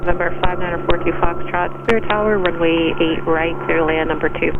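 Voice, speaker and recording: Fast-talking tower controller on noisy radio, man. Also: on the high side, steady tone. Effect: aviation radio. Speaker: air traffic tower controller. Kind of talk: clipped procedural radio phraseology. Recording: dull noisy air traffic radio transmission.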